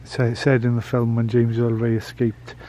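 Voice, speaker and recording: A man's voice: medium pitch, completely flat delivery, moderate pace, mellow. A man vlogging as he tours, giving casual commentary with hesitant, musing asides. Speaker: travel vlogger. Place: old prison building and its grounds. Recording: handheld camera, noticeable background noise.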